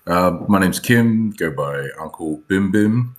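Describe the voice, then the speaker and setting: A man introducing himself as a brand strategist on a late-night call, relaxed and easygoing. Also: deep voice, slow. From Australia.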